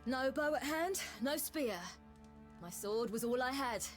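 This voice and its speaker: A woman's voice: sits high, lively intonation, measured pace, faint music behind. An actress playing a self-possessed young female sword fighter in a video game. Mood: matter-of-fact.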